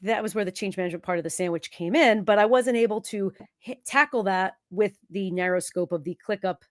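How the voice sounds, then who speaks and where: A woman fighting a cold hosts a live question-and-answer stream, sniffling now and then. She talks in a chatty, self-interrupting way, often chiming in with quick agreement. Her voice sits at a middle pitch in a dry, close recording. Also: no room sound. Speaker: host, productivity consultant.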